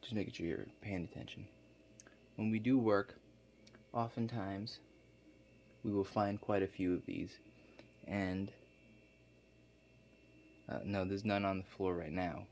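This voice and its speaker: A man playing a laid-back construction contractor in an ASMR role play, explaining things to a client in a halting, matter-of-fact way. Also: low-pitched, fairly even intonation, darkish tone, a little hiss or hum. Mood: casual, unhurried.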